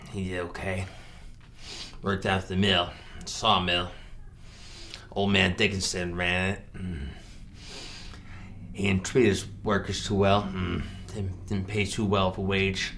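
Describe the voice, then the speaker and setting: A man performing a film monologue as a simple rural killer, halting and deadpan, grunting "mm" between short phrases. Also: low voice, slight background noise, heavily acted. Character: slow, simple, flat-voiced rural killer confessing his past. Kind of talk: improvised film monologue reenactment.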